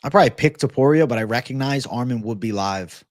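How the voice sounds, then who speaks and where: A young man from Massachusetts talks loosely to his livestream chat from a home setup, full of slang and quick asides. His voice sits at an ordinary middle pitch, and the close mic leaves it dry with no room around it. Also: no echo. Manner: loose, animated, slangy, says bro. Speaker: MMA livestream host. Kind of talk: casual livestream chat reading viewer comments.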